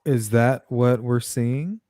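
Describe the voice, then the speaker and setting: A woman's voice: extremely low pitch, slow, dark tone, no room sound, clean studio sound. A woman hosting a casual livestream chat, thinking aloud as she goes. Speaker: host.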